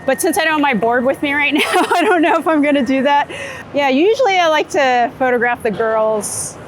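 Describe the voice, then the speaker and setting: An American woman with a slightly high voice talks in a relaxed, conversational way. She is chatty and laughs easily, like someone being interviewed on the go. There is light city and vehicle noise behind her. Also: a little fast. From United States, Florida. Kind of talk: casual chat while out and about. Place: on location in a city, rooftop, car and street.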